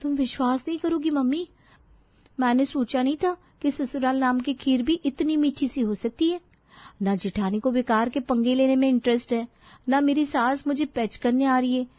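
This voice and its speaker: A woman voicing a cheerful, chatty young newlywed daughter-in-law in a storybook tale, excitable and girlish. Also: high-pitched, normal speed, dry recording, lo-fi sound, pitched above the performer's own voice, natural voice. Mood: delighted.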